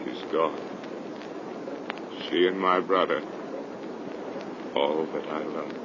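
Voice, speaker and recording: A man's voice: very deep voice, fairly even intonation, slow pace. An actor playing an anguished, disbelieving young man in love, earnest and melodramatic in 1930s radio horror style. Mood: stunned grief. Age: young adult. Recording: dull, noisy 1930s radio recording.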